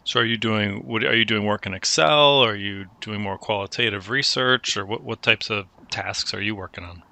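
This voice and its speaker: A man hosting a career advice chat, coaching a student in a relaxed way and often restarting his phrases. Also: lowish voice, normal speed, creaky, no room sound. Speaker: host giving career advice. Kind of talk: conversational mentoring chat.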